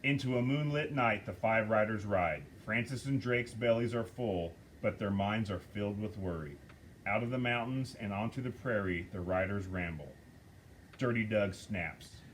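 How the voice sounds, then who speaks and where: A man reading stage directions aloud at a script table reading, slow and measured. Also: fairly low pitch, slight background noise.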